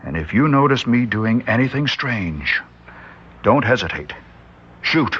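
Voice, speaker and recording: A man's voice: medium pitch, big pitch swings, measured pace, a bit bright, slightly rough, muffled recording, heavily acted. An actor playing an earnest, worried male scientist in an old radio drama. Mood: grave. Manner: earnest, speculative, urgent. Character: scientist doctor, grave, warning, male. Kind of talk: 1960s radio drama acting.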